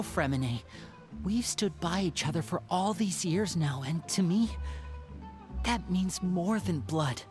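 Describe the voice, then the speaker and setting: A man voicing a young man in a video game, a sharp older brother who probes teasingly and then turns tender and sincere. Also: on the high side, natural voice.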